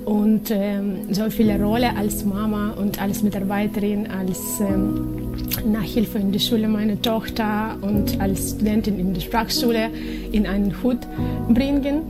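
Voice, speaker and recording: A woman's voice: medium pitch, steady tone, unhurried. Ukrainian-accented woman over guitar. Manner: hesitant, searching for words. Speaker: testimony speaker. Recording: over loud guitar music.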